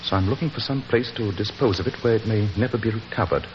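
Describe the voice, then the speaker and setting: An actor playing a precise, confident, commanding British gentleman detective in 1940s radio style. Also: lowish voice, quick pace, lightly acted. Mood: intent, reasoning. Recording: muffled 1940s radio, reverberant, hissy.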